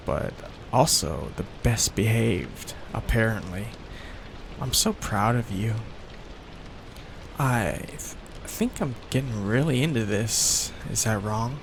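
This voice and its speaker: Male actor for young mafia heir, ASMR romance: doting, possessive, unhurried, with a lively pitch swing. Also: gravelly, noisy background, exaggerated voice. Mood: vulnerable, longing. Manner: tender, coaxing, hesitant, asks for reassurance. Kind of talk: intimate ASMR boyfriend roleplay.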